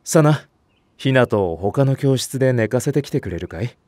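Man playing a calm, expressive fatherly teacher. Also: medium pitch, moderate pace, no echo, close to an everyday voice. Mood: gently firm. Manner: patient, composed, gently firm. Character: calm, fatherly senior male nursery teacher. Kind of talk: Japanese voice drama acting.

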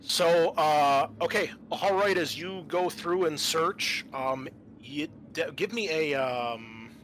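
Bright-voiced man running a tabletop game. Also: fairly high pitch, slow, slight background noise, low-quality recording. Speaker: game master. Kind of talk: running a tabletop roleplaying game, improvised narration.

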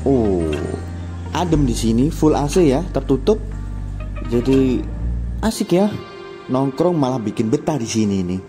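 A chatty man, at a middling pitch, narrates a casual walkthrough of a crowded food court. He speaks into a handheld camera mic. Loud music plays over the recording throughout. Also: animated, measured pace, pauses often. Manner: chatty, upbeat, loose. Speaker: vlogger touring a food court. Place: busy food court and cafe, indoors at evening.

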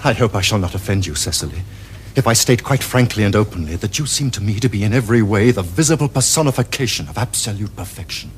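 An actor playing a flippant, teasing Victorian gentleman dandy, with an arch, theatrical upper-class English delivery. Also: on the low side, animated, quick pace, slight background noise, natural voice. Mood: romantic, earnest. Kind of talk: period stage comedy acting for television.

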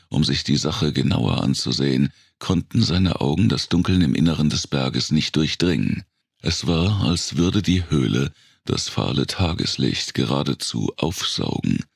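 Very deep, gravelly male narrator. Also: no echo, clean studio sound. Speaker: audiobook narrator. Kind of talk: dramatic scripted narration of a dark thriller.

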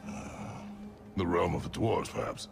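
An actor playing a stern, gruff warrior father in a game cutscene, gravelly and terse, giving short commands and questions. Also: sits low, fairly even intonation, a little slow, music in the background. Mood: wary, questioning. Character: stern, gruff, battle-worn warrior father, a man of few words. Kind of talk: video game cutscene acting.

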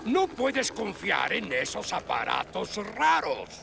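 An actor playing a blustery older fire station officer in a Latin American Spanish cartoon dub, with a gravelly voice. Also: male voice, sits high, huge pitch swings, takes it slowly, background noise, exaggerated voice. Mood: grumbling.

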